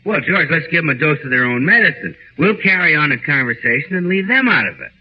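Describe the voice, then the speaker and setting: A man's voice: fairly high pitch, big pitch swings, quick pace. A man playing a chummy, girl-crazy bachelor friend in a 1940s radio comedy. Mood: breezy, chummy. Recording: muffled old radio recording.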